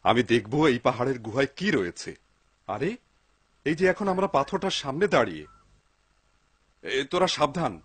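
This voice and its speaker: A man playing an excitable, pious, poor woodcutter in a dubbed cartoon, exclaiming and talking to himself in wonder. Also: medium pitch, normal speed, pauses often, husky, dry recording. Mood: wary. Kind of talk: Bengali-dubbed cartoon acting. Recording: noisy soundtrack with clicks.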